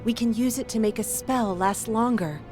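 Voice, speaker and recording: A woman's voice: a little high, moderate pace, music in the background, close to an everyday voice. An actress playing an earnest, determined female adventurer who guides her allies in a video game. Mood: analytical. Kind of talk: video game cutscene acting.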